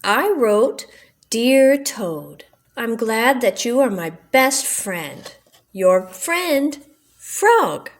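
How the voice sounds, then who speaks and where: Woman voicing an eager, high-pitched storybook frog. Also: big pitch swings, drawn-out words, full character voice. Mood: affectionate. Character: eager, kindly male frog, loyal best friend. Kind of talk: children's storybook read-aloud.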